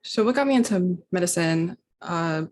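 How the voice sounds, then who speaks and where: A woman's voice: on the low side, expressive, moderate pace, darkish tone. A young woman speaking casually as a student panelist on a video call. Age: young adult. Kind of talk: casual self-introduction on a panel.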